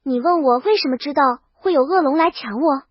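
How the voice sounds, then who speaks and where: Woman storyteller, high voice, comic first-person narration, very dry but dull lo-fi sound. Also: a little fast, no room sound. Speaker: audiobook narrator.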